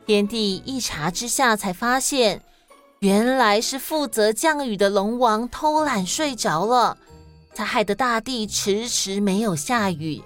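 A woman with a Taiwanese Mandarin accent narrating and explaining in a scripted, expressive style for children. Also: fairly high pitch, measured pace, faint music behind. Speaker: children's story podcast host and narrator.

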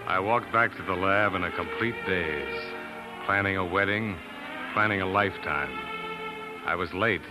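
A man's voice: low voice, normal speed, natural voice, poor audio. An actor playing an earnest young scientist turned doctor, narrating his life in 1940s radio drama style. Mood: earnest, resolute. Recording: 1940s radio recording with music.

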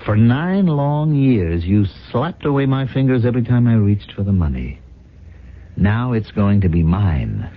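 An actor playing a cynical, scheming gold-digger husband in a radio drama, theatrical, smug and mock-tender. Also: male voice, on the low side, very animated, unhurried. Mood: smug, gloating. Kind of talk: radio drama acting and first-person narration. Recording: dull lo-fi 1970s radio broadcast.

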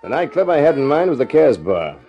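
An actor playing a wry, tough private eye who also narrates, in clipped 1950s radio detective style. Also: male voice, on the high side, very animated, brisk, dull audio, over loud music.

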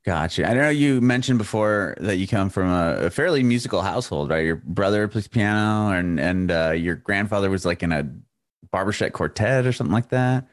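Deep-voiced man, dry close sound. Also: expressive, a little fast, no room sound. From San Diego, California. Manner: friendly, chatty, laughs along. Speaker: interviewer host. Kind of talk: casual video call interview. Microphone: video call.

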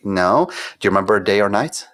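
Low-voiced man telling lively stories. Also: fast, dry recording, clean studio sound. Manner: animated, storytelling with acted-out quotes, frequent "like, you know". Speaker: guest, conversion optimization consultant. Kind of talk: podcast interview.

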